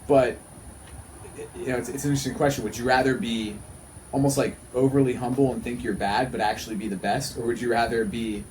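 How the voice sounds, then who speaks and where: A man's voice: medium pitch, steady tone, a little fast, a little mellow, a little hiss or hum, poor audio. A man in his thirties talking casually and earnestly as a podcast host, often repeating himself for emphasis. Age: 30s. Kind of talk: casual conversational podcast talk.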